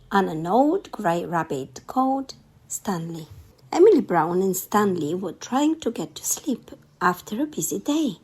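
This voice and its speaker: A woman's voice: mid-range voice, darkish tone, slightly rough. A woman reading a children's picture book aloud as a storytime narrator, dramatically stretching key words for effect. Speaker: storytime reader.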